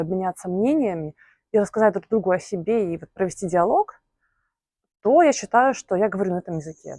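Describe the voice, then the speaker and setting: A young woman from Belarus talks through her story to camera in an easygoing, thoughtful way. She pauses often and fills gaps with small hesitant sounds, at a middle pitch. The sound is close and dry, with no room echo. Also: a little mellow. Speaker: vlogger. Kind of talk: casual talk to camera.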